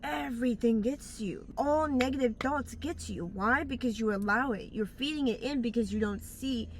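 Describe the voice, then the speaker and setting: A woman's voice: fairly high pitch, moderate pace, a little hiss or hum. A woman giving blunt life advice in a casual, rambling monologue, recorded outdoors on a phone, often stopping to search for words. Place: outdoors in bright daylight.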